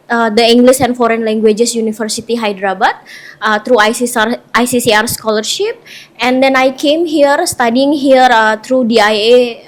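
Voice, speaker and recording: A young woman, a PhD scholar introducing herself hesitantly with many fillers. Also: on the high side. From Indonesia. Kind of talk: self-introduction.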